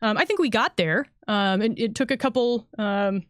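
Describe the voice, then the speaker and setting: A woman's voice: fairly high pitch, normal speed, clean tone, dry recording, studio quality. A woman talking casually as a game designer on a panel, explaining things in an informal, conversational way.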